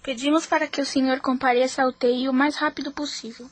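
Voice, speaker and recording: A woman's voice: sits high, steady tone, fast, lightly acted. A woman playing a formal, detached hospital caller in a radio soap opera.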